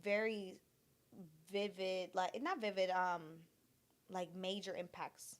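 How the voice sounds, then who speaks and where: A young woman whose first language was Portuguese, chatting on a casual podcast. Her voice sits at an ordinary middle pitch, and she tells stories bluntly, swearing freely and getting animated as she goes. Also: slow pace. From born in Florida, raised partly in Brazil. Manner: blunt, profane, animated storytelling. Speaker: podcast co-host. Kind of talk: casual chat.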